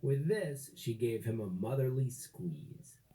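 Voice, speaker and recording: A man narrating a children's story from a script, with dramatic, deliberate phrasing and expressive emphasis. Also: mid-range voice.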